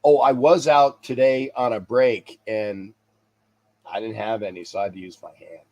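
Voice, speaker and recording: A man's voice: lowish voice, fairly even intonation, normal speed, a little mellow, dull audio. A man hosting a sports talk livestream, stuffy and congested, rambling casually and repeating himself as he signs off to viewers. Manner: chuckles to himself. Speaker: sports talk show host.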